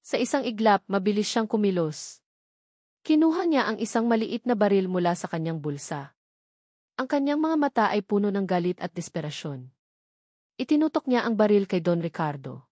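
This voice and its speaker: A woman's voice: medium pitch, big pitch swings, moderate pace, a little mellow, no echo, clean studio sound. A woman with a Filipino accent reading a scripted dramatic story as its narrator.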